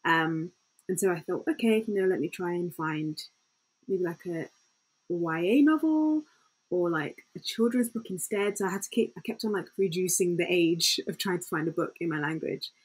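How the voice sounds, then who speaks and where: A woman's voice: lowish voice, normal speed, breathy edge, poor audio. A young woman telling her story in a casual, informal way as a panel guest, on a headset at home. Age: young adult. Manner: informal, peppered with "like". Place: small home room, indoors. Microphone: headset on video call.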